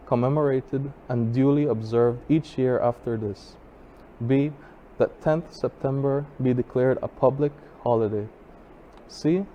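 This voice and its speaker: A man giving an informal history talk as a guest speaker, reading from notes in a measured, halting way. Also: mid-range voice, warm tone, poor audio. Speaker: guest speaker, college assistant registrar. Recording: clear background noise.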